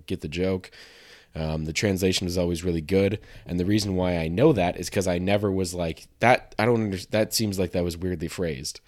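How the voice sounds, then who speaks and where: A hoarse man with a sore throat, hosting a podcast in a casual, self-deprecating ramble full of fillers. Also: deep voice. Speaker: podcast host.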